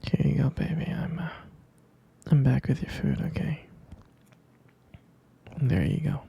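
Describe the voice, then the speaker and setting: A man playing an affectionate, doting boyfriend in an intimate ASMR roleplay, speaking in a soft, gravelly whisper with tender hesitations. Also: lively intonation, a little hiss or hum. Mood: caring. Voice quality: soft, breathy whisper with low gravelly fry. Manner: slow, tender, hesitant with pet names. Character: affectionate, doting male vampire boyfriend soothing partner to sleep.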